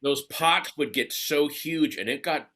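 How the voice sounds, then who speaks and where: A man's voice: a little high, a little slow, noisy background. A man chatting casually with fellow cast members on a video call. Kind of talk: casual group chat.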